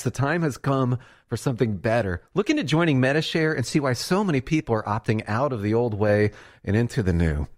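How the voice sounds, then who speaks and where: Persuasive radio ad announcer, dry. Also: male voice, mid-range voice, dry recording. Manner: smooth, persuasive. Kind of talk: scripted radio commercial.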